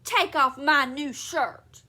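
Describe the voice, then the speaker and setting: A woman voicing a rude, teasing father in a storybook who taunts and shouts like a stubborn child. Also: high-pitched, lively intonation, takes it slowly, heavily acted.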